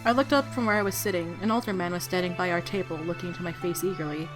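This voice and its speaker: A woman streaming a game, reading its story aloud and reacting with casual, excited commentary as she thinks aloud. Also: fairly low pitch, fast, music in the background.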